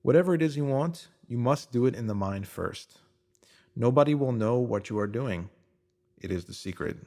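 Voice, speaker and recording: A man with a somewhat low-pitched voice reads a scripted motivational text aloud. His delivery is earnest and instructive, leaning on rhetorical questions as he narrates. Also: a little mellow. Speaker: narrator reading a written motivational post.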